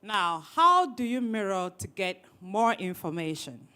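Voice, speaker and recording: A woman leading a workshop session, lively and teasing, often repeating people's words back to them, speaking into a microphone to an audience. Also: medium pitch, measured pace, clean tone. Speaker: workshop trainer. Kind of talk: interactive training session. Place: training room with an audience.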